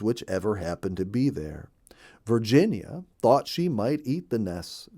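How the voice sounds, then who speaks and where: A man reading a children's story in an expressive, deliberate storytelling manner. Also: mid-range voice, no echo, clean studio sound. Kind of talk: scripted storybook narration.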